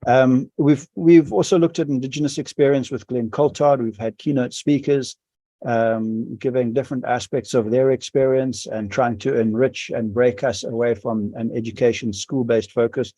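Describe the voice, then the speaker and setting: Halting South African man leading a workshop. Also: mid-range voice, fairly even intonation, mellow, dry recording. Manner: halting, many ums and restarts. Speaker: school administrator leading a workshop. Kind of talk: online workshop presentation. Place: home or office on a video call. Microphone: video call microphone.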